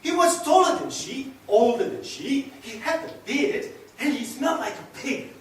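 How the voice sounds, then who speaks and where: Man acting a passionate seducer, high-voiced, very echoey. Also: animated, moderate pace. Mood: bitter, agitated. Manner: dramatic, swinging between hushed murmurs and loud declarations. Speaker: actor. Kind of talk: theatrical solo stage monologue. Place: echoey indoor room, recorded from a distance. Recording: echoey room, distant mic, faint noise.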